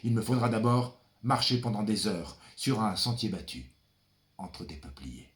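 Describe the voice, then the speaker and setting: A man acts out a brooding son who is ferryman of the dead. The voice sits fairly low and moves very slowly. The delivery is deliberate and dramatic, an incantation addressed to a father. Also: animated. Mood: solemn, yearning. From France. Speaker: stage performer. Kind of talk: theatrical monologue reading.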